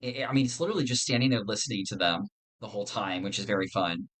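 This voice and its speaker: A man's voice: lowish voice, racing, a bit bright. A man in a casual podcast discussion, explaining fictional lore in earnest detail. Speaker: podcast panelist.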